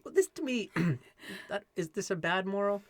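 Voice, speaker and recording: A man's voice: high-pitched, lively intonation, moderate pace, a bit bright, dry recording. A man co-hosting a podcast in casual chat, joking and playfully arguing. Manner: wry, joking, playfully argumentative. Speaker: podcast co-host.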